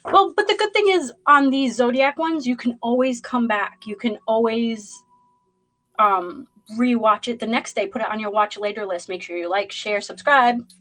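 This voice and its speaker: A woman's voice: on the high side, moderate pace, a bit bright, a little hiss or hum, poor audio. A woman with a Boston accent hosting a zodiac reading livestream, chatting loosely with many fillers and greeting viewers in the chat. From Boston, USA. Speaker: tarot reader hosting a livestream.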